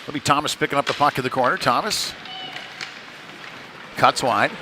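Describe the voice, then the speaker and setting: Male hockey play-by-play caller, mid-pitched, informal and conversational, with clear venue noise behind him. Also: moderate pace. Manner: conversational, informal, tag questions. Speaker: ice hockey play-by-play commentator. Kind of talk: live sports commentary. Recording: clearly noisy venue ambience.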